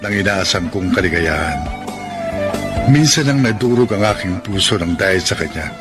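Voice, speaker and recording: A man's voice: deep voice, expressive, moderate pace. An actor playing an anguished, resentful poor man confiding his heartbreak in a melodramatic radio monologue, with a Filipino accent. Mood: anguished, resolute. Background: dramatic music.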